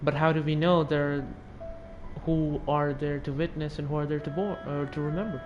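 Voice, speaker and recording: A man's voice: a little high, fairly even intonation, moderate pace, dull audio. A teenage young man giving casual, offhand gameplay commentary, muttering and sometimes sweary. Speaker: gamer, video maker. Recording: game music behind the voice.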